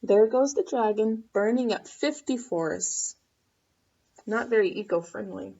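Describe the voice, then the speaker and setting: A woman's voice: fairly high pitch, animated, a little slow, dark tone, muffled recording. A woman reading a picture book aloud to children expressively, with asides and questions for the listeners. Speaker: storybook reader.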